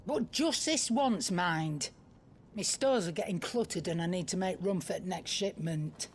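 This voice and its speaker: A woman's voice: lowish voice, measured pace, a little hiss or hum. A woman playing a brusque, grumbling middle-aged storekeeper with a British accent in a video game, tart and colloquial. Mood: grudging. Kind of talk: cinematic video game acting.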